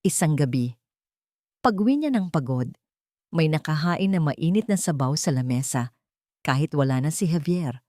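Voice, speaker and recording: A Filipino woman narrates a dramatic story in a fairly low voice with lively, swooping intonation. She delivers it in measured, emphatic phrases, and the recording is close and dry. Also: dry recording.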